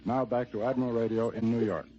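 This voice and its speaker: A man's voice: mid-range voice, fairly even intonation, fast. An American man giving a scripted 1940s radio war report in a wry, dryly humorous manner. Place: on an airfield flight line. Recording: noisy, muffled 1940s radio broadcast.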